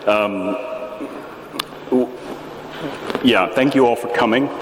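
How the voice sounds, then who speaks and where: A man in his fifties with an American accent, giving a relaxed conference talk with a big booming voice, many fillers and restarts. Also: slow pace, noisy background. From United States. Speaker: conference presenter. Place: large echoey tent at a festival. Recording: reverberant venue with faint ambience.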